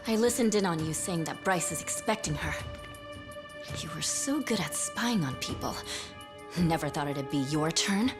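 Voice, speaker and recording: A woman's voice: deep voice, expressive, normal speed. An actress playing a cold, calculating, determined woman in a video game cutscene, controlled and cutting. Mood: cold threat. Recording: music under the voice.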